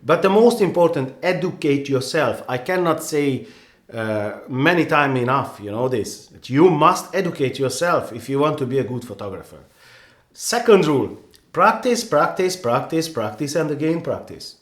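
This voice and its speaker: A man's voice: fairly high pitch, lively intonation, moderate pace, echoey room. A man with a Hungarian accent, a native Hungarian speaker, giving earnest, rambling photography advice with many restarts and "you know"s in a casual vlog.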